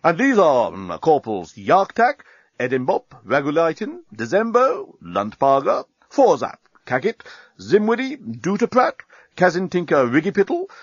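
Casting: male reader as self-important alien captain, clipped, commanding, pitch swooping wildly, slightly muffled sci-fi audiobook. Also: huge pitch swings, normal speed, husky, dry recording, heavily acted. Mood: formal, eager. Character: pompous, excitable male alien military captain, formal and defensive. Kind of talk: comic sci-fi audiobook character voice.

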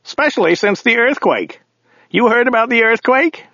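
A dim, relentlessly chatty limousine driver. The voice is high, whiny and nasal, with big swoops in pitch. He is slow on the uptake and keeps prodding, in comic storybook style. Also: expressive, pushed and bright, dry recording, lo-fi sound, full character voice.

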